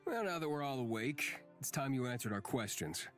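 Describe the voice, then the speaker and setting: A short-tempered, menacing companion, curt and irritable. It is visual novel dialogue in a medium pitch, with music behind the voice. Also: male voice, very animated, fairly quick, fairly bright tone. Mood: irritated, menacing. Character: grumpy, threatening male companion, short-tempered.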